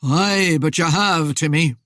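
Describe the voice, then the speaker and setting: A woman's voice: a bit bright, no room sound, studio quality. A woman voicing a droning, menacing hooded specter of Death. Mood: grim, vengeful. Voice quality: eerie, droning, booming. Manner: slow, commanding, archaic speech. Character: menacing hooded male rider posing as vengeful Death.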